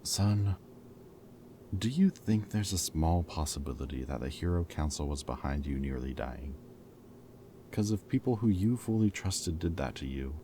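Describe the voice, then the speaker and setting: Casting: man as wry ex-villain friend, bottom-of-range dark voice, conversational and earnest, some noise behind. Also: very deep voice, expressive, moderate pace. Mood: earnest, probing. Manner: casual, teasing, earnest. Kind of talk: roleplay audio drama acting, conversational.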